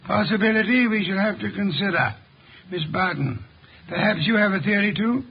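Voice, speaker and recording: Radio drama lead: imperious, eccentric detective, husky and higher-pitched, pompous formal diction, muffled vintage broadcast. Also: male voice, moderate pace. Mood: formal, probing. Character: eccentric, imperious genius detective, pompous, unhurried, dryly amused. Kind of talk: 1950s radio drama acting.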